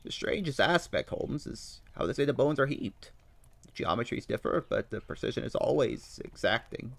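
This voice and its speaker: A man voicing an earnest Victorian army doctor in a measured way. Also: medium pitch, lively intonation, creaky, no room sound.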